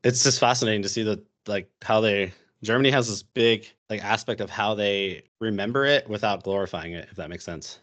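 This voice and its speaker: A young American man with a fairly low voice talks loosely on a podcast as a guest. He rambles, full of fillers, restarts and asides. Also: brisk, few pauses, a bit bright, muffled recording. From United States. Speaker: podcast guest, history YouTuber. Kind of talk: casual podcast chat.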